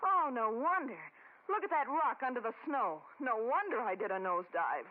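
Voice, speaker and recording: An actress playing a brash, excitable young woman, dismissive and defiant, in an old radio horror drama. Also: a little high, moderate pace. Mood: puzzled. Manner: excitable, emphatic. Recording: tinny, crackly old radio drama recording.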